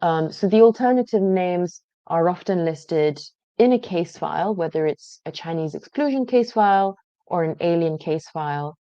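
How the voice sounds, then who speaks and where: A woman with a mellow, rather low voice walks viewers through a research database, talking in a relaxed, explanatory way with many small pauses. The sound is dry and a little dull, like a close computer mic. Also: dry recording. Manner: explanatory, conversational, frequent "um" pauses. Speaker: genealogy presenter. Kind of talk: screen-share database walkthrough.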